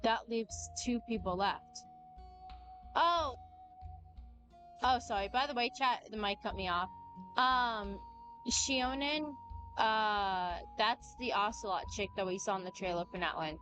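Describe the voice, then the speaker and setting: A woman game streamer talks casually to her viewers at an unhurried pace, sometimes reading game lines aloud. Soft game music plays behind her. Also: medium pitch. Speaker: game livestreamer.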